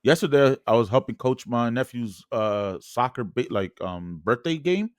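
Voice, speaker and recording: A man's voice: medium pitch, expressive, normal speed, no room sound. Slangy New York man on a dry podcast. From New York City. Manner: slangy, jokey, peppered with "bro" and "yo". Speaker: podcast co-host. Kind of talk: casual sports podcast chat.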